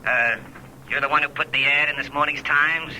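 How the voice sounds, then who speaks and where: Evasive killer on the phone, vintage radio drama: very bright, hard-edged sound, guarded casualness. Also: male voice, fairly high pitch, fairly even intonation, normal speed. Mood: wary, casual. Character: wary, evasive killer posing as casual caller Slim. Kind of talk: 1940s radio drama acting. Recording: sharp, hissy old radio phone line.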